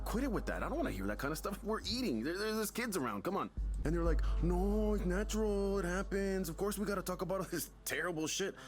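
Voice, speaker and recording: An actor playing a stressed, defensive young father who vents in a halting, emphatic, conversational way. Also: a little high, natural voice. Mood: mocking, exasperated. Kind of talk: naturalistic game voice acting.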